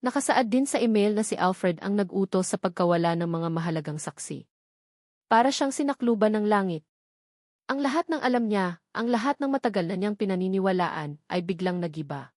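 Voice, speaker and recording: A woman narrates scripted fiction in a measured, solemn storytelling manner. Her voice sits at a medium pitch. The sound is dry, free of any room echo. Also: lively intonation, darkish tone.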